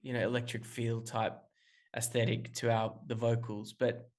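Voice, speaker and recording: A man's voice: mid-range voice, completely flat delivery, moderate pace, darkish tone. A man from Australia, a musician guest calling in remotely, talking casually in long rambling answers full of restarts and fillers. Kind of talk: casual interview talk.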